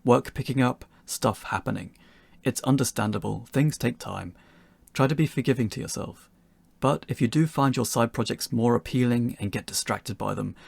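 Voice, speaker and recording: A man's voice: fairly low pitch, gravelly, darkish tone, slightly rough, dry recording. A man narrating a scripted tutorial in an articulate way with wry phrasing. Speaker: game developer giving a tutorial.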